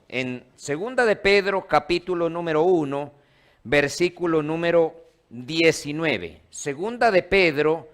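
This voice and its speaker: A man's voice: a little high, fairly bright tone. A man from Ecuador, a pastor preaching a sermon in a church and quizzing the congregation in call-and-response. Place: church hall.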